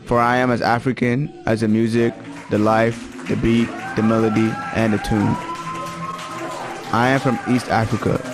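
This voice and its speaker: A young man from Tanzania reciting a spoken word piece in a measured, earnest way. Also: fairly low pitch, flat delivery, heavy reverb, recorded from a distance, crowd noise. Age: young adult. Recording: music playing underneath.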